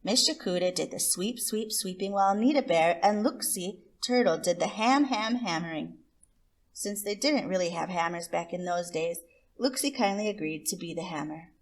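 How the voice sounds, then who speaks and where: A woman reading a picture book aloud to children as host, expressive, with deliberate emphasis on words. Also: lowish voice. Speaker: children's story reader and host.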